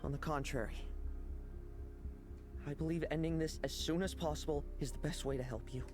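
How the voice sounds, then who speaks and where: A woman's voice: deep voice, steady tone, fairly quick, natural voice. A woman voicing a smug young schemer who coolly taunts, in anime game style. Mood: calm resolve. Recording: long reverb, game music behind.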